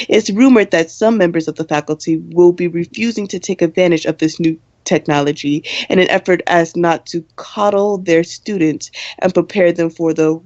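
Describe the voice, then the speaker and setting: A woman playing a brisk, deadpan student co-hosting a campus radio show in a radio play, formal on announcements and wryly exasperated in her scolding. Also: fairly low pitch, no room sound, dull audio, lightly acted. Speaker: radio co-host character. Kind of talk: radio play in campus news broadcast style.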